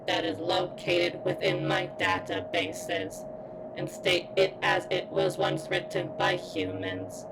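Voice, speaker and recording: A woman voicing a guarded robot girl who yearns for sentience in an ASMR roleplay, speaking in stilted, formal, halting phrases with a strained, pained sound. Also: fairly low pitch, sing-song, vocal fry, background noise, heavily acted. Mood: strained, clinical. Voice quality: strained, as if in constant pain.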